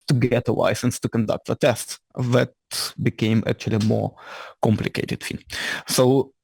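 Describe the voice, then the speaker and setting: A man with a Ukrainian-Scottish accent giving a lecture as an invited engineer. He speaks in halting, slightly ungrammatical English and often restarts his sentences. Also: mid-range voice, creaky, darkish tone, no room sound. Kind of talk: lecture presentation. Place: lecture room with audience.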